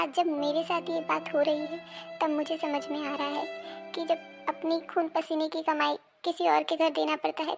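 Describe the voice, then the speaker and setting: A woman playing an emphatic, melodramatic married daughter-in-law in an animated family moral drama. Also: high-pitched, gravelly. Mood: remorseful. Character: indignant married woman, sharp-tongued wife and daughter-in-law.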